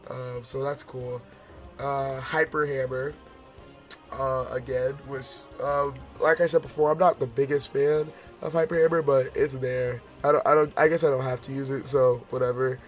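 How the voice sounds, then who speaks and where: Male gaming vlogger, voice going hoarse, casual rambling commentary, mid-range pitch. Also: little pitch movement, normal speed, faint music behind. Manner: rambling, many fillers and restarts; forcing his voice out. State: hoarse, losing his voice. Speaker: gaming video host.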